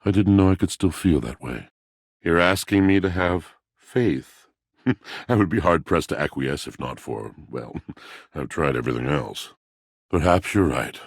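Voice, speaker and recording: Gravelly, extremely deep, brooding romantic. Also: male voice, lively intonation, unhurried, no room sound, studio quality, heavily acted. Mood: resigned, softening. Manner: grandiose, poetic, mournful theatrical delivery. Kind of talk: theatrical video game character monologue.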